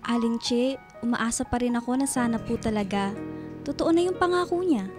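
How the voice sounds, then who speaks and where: A timid schoolgirl played by a woman in a radio drama, with a high, soft-edged voice. She is polite, hesitant and hopeful as she pleads with her mother, over a light music bed. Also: fairly quick, close to an everyday voice. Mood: wistfully hopeful.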